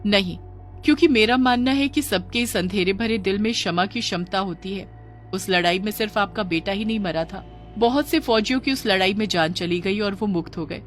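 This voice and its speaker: Brave cartoon girl, played by a woman: compassionate, earnest, gentle, light music underneath. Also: medium pitch, natural voice. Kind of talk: children's cartoon story dialogue.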